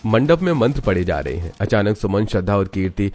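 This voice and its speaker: A man with a low voice serves as narrator of a moral family tale. His delivery is scripted, dramatic storytelling. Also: expressive, fairly quick, darkish tone.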